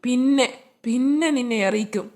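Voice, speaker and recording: A woman's voice: a little high, normal speed, a little mellow, lightly acted. A woman voicing a threatening male kidnapper boss who barks impatient orders in a cartoon dub. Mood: menacing. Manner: threatening, commanding. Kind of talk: amateur cartoon dub in Malayalam.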